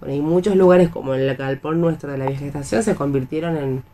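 A woman's voice: low-pitched, fast talker, mellow. Radio panelist, female, Argentine accent, conversational, slightly noisy ambient recording.

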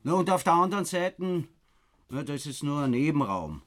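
A man's voice: a little high, sing-song, moderate pace, fairly bright tone. A man with an Austrian accent playing a proud, self-important, eccentric survivalist in a radio play. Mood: earnest, proud. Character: proud, eccentric male doomsday prepper, self-reliant and suspicious.

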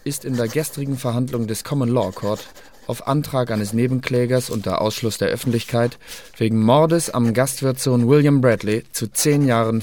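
An actor playing an officious secret service clerk who reads out reports in a formal, clipped way in a German radio drama. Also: male voice, medium pitch, a little mellow, slight background noise, close to an everyday voice.

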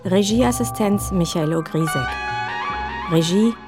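A woman's voice: lowish voice, unhurried. A woman reading out scripted credits as a radio announcer. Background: loud orchestral music.

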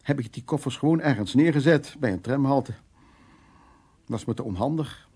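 A man's voice: medium pitch, expressive, moderate pace, warm tone, husky. An actor in a naturalistic radio play, playing a brusque middle-aged Dutch art restorer who muses and hesitates over his words. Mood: agitated recollection. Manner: hesitant, repeating words, musing. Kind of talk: naturalistic radio drama acting.

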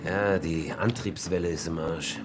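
An actor playing a grave, resigned middle-aged naval officer among survivors in a radio drama, sober and matter-of-fact. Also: male voice, sits low, a little slow, very noisy.

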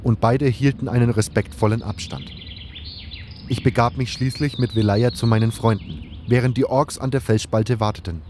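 Erzähler mit Vogelgezwitscher im Hintergrund. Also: male voice, medium pitch, fairly even intonation, normal speed, a little mellow, slight background noise. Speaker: narrator and hero of fan audio drama. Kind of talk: scripted first-person story narration.

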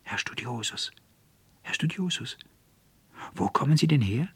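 A man's voice: medium pitch, expressive, a little slow, breathy edge, no room sound, pitched above the performer's own voice. A man voicing a tiny mocking garden spirit with a thin, fine, teasing voice in a fairy tale. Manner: teasing, sneering.

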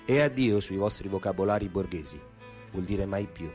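An actor playing a wry, earthy Roman storyteller in a theatrical radio piece, with a Roman accent. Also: male voice, deep voice, normal speed, darkish tone, soft background music, lightly acted. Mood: solemn declaiming.

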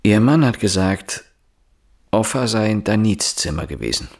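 Police interrogator, husky, animated pitch, insistent, deliberate, naturalistic radio play. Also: male voice, full character voice. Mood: stern, probing. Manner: deliberate, pressing questioning. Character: male police inspector, insistent, probing interrogator. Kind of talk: naturalistic German radio drama acting.

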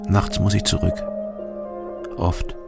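Casting: jaded, secretive drinker, low gravelly voice, terse and subdued, radio crime drama. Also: male voice, little pitch movement, slow, over loud music, exaggerated voice. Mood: brooding, narrating. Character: world-weary, secretive, hard-drinking East Berlin man. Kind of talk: naturalistic radio drama acting.

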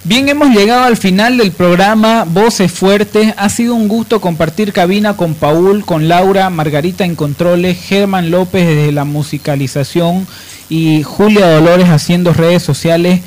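Male radio host, fairly high voice, sardonic and emphatic commentator. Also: normal speed.

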